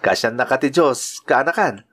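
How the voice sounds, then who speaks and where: A man's voice: mid-range voice, expressive, normal speed, a bit bright. A man with an Ilocano accent playing a kindly, earnest uncle and working man in an audio drama. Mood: affectionate. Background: music.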